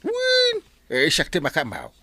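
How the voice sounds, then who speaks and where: An excitable Ilocano village captain in a comic radio drama. His pitch swings extremely widely as he stammers and blusters. He sounds easily flustered and full of exclamations. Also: measured pace, exaggerated voice. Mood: flustered, whining. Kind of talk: broad comedy radio drama acting.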